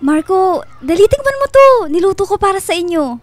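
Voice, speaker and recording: An actress playing a chirpy, ingratiating and subtly possessive family friend in a radio drama, with a breezy, over-sweet manner. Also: female voice, very high-pitched, very animated, brisk. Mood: gushing, smug.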